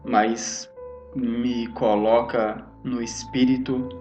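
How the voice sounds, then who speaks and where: A man playing a solemn, bitter nobleman in a tabletop game, speaking gravely and formally. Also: mid-range voice, little pitch movement, darkish tone, lo-fi sound, soft background music. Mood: pained, determined. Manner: grave, formal, slow and resolute. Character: solemn, bitter nobleman sworn to destroy cursed runes.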